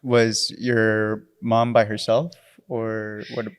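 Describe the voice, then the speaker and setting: A man's voice: on the low side, little pitch movement, slow, smooth, no room sound, clean studio sound. A man hosting a podcast, asking friendly questions with many fillers. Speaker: podcast host. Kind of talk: casual interview questions.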